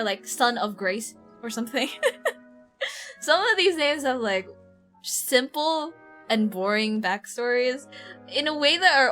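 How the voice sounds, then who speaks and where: A woman livestreamer chatting casually and slangily as she plays a game, rambling along in a giggly, animated way. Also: on the high side. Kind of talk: casual livestream chat while playing a game. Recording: game music behind the voice. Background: video game music.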